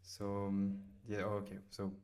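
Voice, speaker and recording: Low flat-voiced Frenchman with fillers. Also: moderate pace. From France. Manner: hesitant, many fillers while typing. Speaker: software demo host. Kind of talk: casual screen-recorded tutorial.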